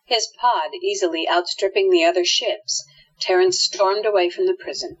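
A woman's voice: lowish voice, moderate pace, lo-fi sound, very noisy. A woman reading scripted narration as the narrator of a radio play.

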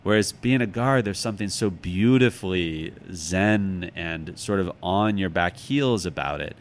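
Low-voiced, thoughtful middle-aged man. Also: measured pace. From United States, Chicago. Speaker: interview guest, author. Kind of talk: reflective conversational interview answers.

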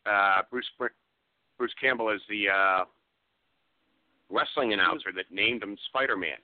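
A man's voice: lowish voice, unhurried, no room sound. A man chatting conversationally as an interview guest, telling things in an anecdotal way. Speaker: guest, radio show presenter. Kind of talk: casual interview chat. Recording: dull lo-fi call audio.

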